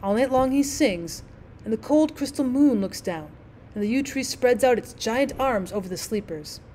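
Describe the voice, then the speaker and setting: A woman voicing a lonely old male ghost in a storybook, low and dreamy, speaking in a sad, wistful way. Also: mellow, slight background noise, close to an everyday voice. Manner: slow, mournful, wistful delivery. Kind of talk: storybook character reading.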